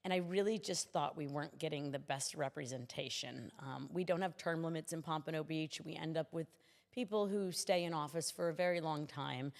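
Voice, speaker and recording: A woman's voice: low voice, a little fast, gravelly, in a large hall. A middle-aged American woman speaking conversationally as a guest in a podcast interview. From United States. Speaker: interview guest, city commissioner.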